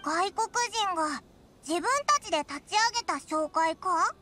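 A woman voicing a small, childlike, excitable and blunt fairy companion in an anime-style Japanese video game. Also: unhurried, faint music behind, heavily acted. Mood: blunt, curious. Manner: squeaky, blunt, exclamatory.

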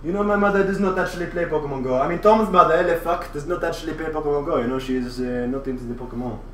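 A man's voice: a little high, very fast, fairly bright tone, slight background noise, natural voice. A man streaming live from a kitchen, putting on a vulgar, boastful French chef character with a put-on French accent, rambling and repeating "you know". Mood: cocky. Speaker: livestreamer. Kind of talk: casual livestream chat in character. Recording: roomy, distant mic, faint ambience.

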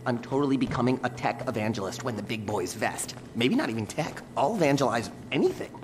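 A man's voice: mid-range voice, expressive, brisk, close to an everyday voice. Guy acting as young american tech office dude, rambly and jaded, very up and down voice, noisy ambience behind. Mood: chatty, conspiratorial. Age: young adult. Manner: casual slangy tech jargon, rambling. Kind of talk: video game cutscene acting.

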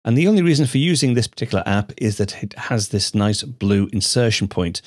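Low-voiced man explaining software. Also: no room sound, clean studio sound. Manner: measured, explanatory, steady. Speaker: tutorial host. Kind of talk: screencast software tutorial narration. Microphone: studio microphone.

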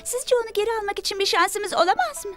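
A woman playing an eager, wide-eyed teenage girl in a dubbed animated adventure, speaking expressively. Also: extremely high pitch, fast talker. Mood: concerned. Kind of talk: animated series dubbing. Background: music.

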